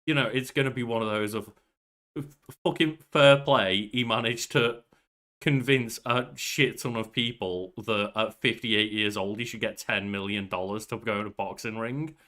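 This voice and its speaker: Bright-voiced young man chatting. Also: on the low side, steady tone, normal speed. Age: young adult. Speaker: podcast co-host. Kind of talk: casual chat. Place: small bedroom at home.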